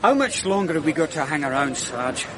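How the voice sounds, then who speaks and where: An actor playing a grumbling young police constable who keeps complaining. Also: male voice, fairly high pitch, animated, a little fast, background noise, lightly acted. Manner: whiny, grumbling. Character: grumbling young male constable munching biscuits. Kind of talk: radio drama acting.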